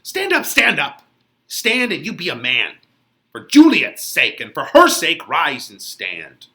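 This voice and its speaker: A woeful, fretful nursemaid played by a man in a dramatic Shakespeare reading. The lament is slow and melodramatic, with a bright, forceful tone. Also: on the high side, huge pitch swings, gravelly, full character voice. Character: woeful, fretful female nurse bearing bad news.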